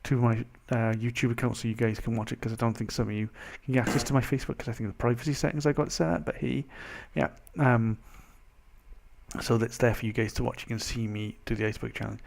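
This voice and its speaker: Young man, mild Welsh accent, gravelly fry, mid-pitched, rambling casual vlog chat, self-interrupting. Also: flat delivery, fast, a little mellow, a little hiss or hum. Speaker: vlogger.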